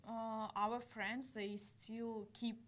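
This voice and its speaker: A young woman from Russia with a Russian accent, a guest model speaking English as a second language, animated and laughing as she tells stories. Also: a little high, very slow. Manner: animated, laughing, acts out little scenes. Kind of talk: casual interview chat.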